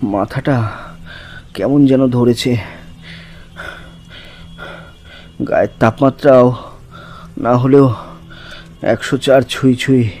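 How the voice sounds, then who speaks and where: A man's voice: medium pitch, fairly even intonation, slow, pauses often, dark tone, close to an everyday voice. A man playing an earnest, shaken narrator who recounts a supernatural horror tale in the first person with suspenseful expression. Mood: uneasy, recounting.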